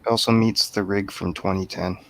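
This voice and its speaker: A man with a fairly low, gravelly voice joins a casual discussion as a guest. He speaks in short, informal interjections. His remarks sound unscripted and conversational. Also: steady tone, brisk, fairly bright tone, rough recording. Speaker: guest on the show. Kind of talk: casual chat, brief interjections.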